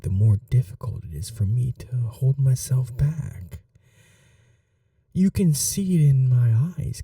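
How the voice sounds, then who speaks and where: A man playing a slow gravelly vampire lord. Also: fairly low pitch, no room sound, studio quality, far from the mic, exaggerated voice. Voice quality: soft, husky, half-whispered. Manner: courtly, slow and seductive, turning menacing. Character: charming predatory male vampire lord, ancient, cunning. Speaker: ASMR roleplay voice actor. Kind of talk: whispered ASMR roleplay.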